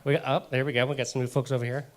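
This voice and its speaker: A man's voice: mid-range voice, little pitch movement, rapid-fire. A man hosting a casual group meeting, joking and upbeat, speaking into a handheld mic in a meeting room. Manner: upbeat, joking, repeats "all righty". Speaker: meeting host. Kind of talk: casual emcee chat.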